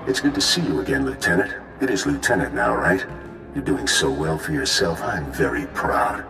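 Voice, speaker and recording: An actor playing a smug, embittered traitorous commando leader in a video game, mocking and theatrical in his measured delivery. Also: extremely low pitch, big pitch swings, reverberant, over music. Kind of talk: scripted video game acting.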